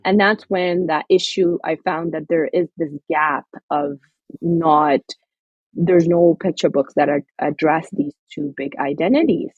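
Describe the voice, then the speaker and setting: Brief: husky low woman guest from Somaliland, earnest and thoughtful, dry close sound. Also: steady tone, measured pace, no room sound, dull audio. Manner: earnest, reflective, frequent fillers and restarts. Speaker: author and guest. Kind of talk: interview conversation.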